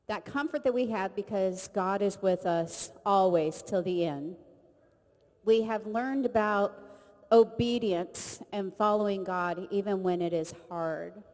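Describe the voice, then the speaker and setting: A woman hosts a church service in an earnest, conversational way. Her voice sits in the middle range and carries a long reverb from a big hall. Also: medium pitch. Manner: earnest, conversational, warm address to the group. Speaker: ministry leader leading a service. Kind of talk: church service hosting and prayer.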